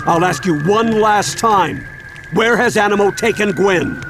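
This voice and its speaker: A man voicing a protective older grandfather who leads adventures in an animated video game, speaking firmly. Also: sits high, unhurried, noisy background. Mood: urgent, stern. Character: gruff, protective old grandfather, adventurous leader. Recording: music under the voice.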